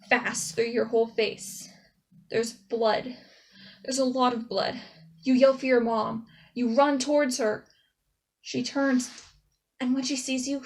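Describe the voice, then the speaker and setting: A traumatized young woman, played by a woman tells her story as a theater monologue. Her voice is fairly high, and her delivery is emotional and halting, with dramatic pauses. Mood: haunted, subdued, then distressed. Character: traumatized young woman recounting a childhood dog attack.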